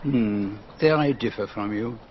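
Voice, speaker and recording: A man's voice: lowish voice, unhurried, muffled recording, a little hiss or hum, lightly acted. A man playing a shrewd, courteous retired detective in a radio drama, speaking precisely and with self-assurance. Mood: politely firm. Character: shrewd, polite foreign detective, retired, confident and particular.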